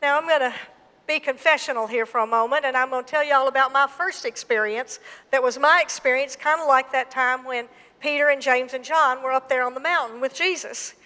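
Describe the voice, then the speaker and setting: A woman pastor with a Southern Mississippi accent delivers a sermon in a high voice. She tells her story slowly and with emphasis. Her voice carries a long reverb, as in a church gym. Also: sharp tone. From Mississippi, USA.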